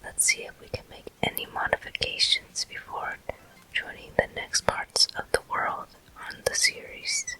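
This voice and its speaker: A person whispering ASMR gameplay commentary as the host of a walkthrough, in a breathy, unvoiced, gentle and deliberate way. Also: very high voice, rough recording. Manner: slow, gentle, deliberate delivery.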